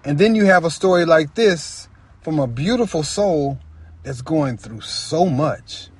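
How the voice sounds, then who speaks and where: A man talking casually and reflectively as a guest storyteller, earnest and halting, often repeating phrases for emphasis. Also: on the high side, animated, breathy edge. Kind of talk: casual, reflective personal talk.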